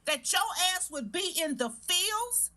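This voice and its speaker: Sharp-tongued high bright woman. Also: big pitch swings, a little slow. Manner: sharp, sarcastic, lecturing. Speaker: panelist on a live-stream debate. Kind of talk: heated political debate.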